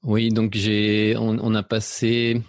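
A man's voice: lowish voice, monotone, takes it slowly, dry recording, studio quality. A middle-aged man from Spain with a mixed French accent, talking about his life in a hesitant way, full of fillers and restarts. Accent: mixed French, slight Belgian. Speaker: interview guest. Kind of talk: reflective personal interview.

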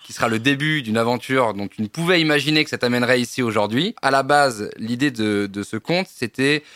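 A young man hosting a relaxed interview in a restaurant wine cellar, chatty and easygoing. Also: medium pitch, fairly quick, no room sound. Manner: relaxed, chatty, friendly fillers. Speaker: host. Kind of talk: casual interview over drinks.

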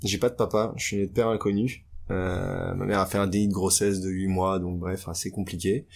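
A man's voice: low-pitched, little pitch movement, a little fast, vocal fry. A young man from Paris, telling his life story candidly in a casual interview, with many fillers and restarts. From France. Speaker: guest.

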